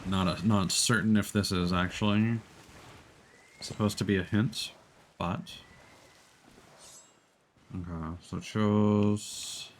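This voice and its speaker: A man's voice: on the low side, fairly even intonation, slow pace, pauses often, a little hiss or hum. A man streaming a game, chatting casually and thinking aloud in hesitant, unfinished fragments. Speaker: live streamer. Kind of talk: casual gameplay chat.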